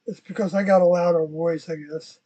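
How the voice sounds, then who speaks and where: A livestream host with a mellow, husky voice, chatting casually and wandering from point to point. The sound is dull and muffled, as on a basic stream. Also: medium pitch, steady tone, brisk. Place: inside an RV.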